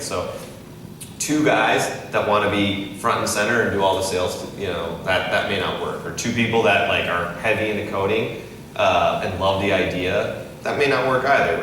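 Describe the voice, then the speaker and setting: A man in his thirties, an entrepreneur and investor, answering interview questions in a loose conversational way, often adding "right?" as he talks. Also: sits low. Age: 30s. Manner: conversational, many restarts and "right?" tags. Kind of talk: casual live interview on stage. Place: event hall with audience. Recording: echoey hall, far from the mic, noisy.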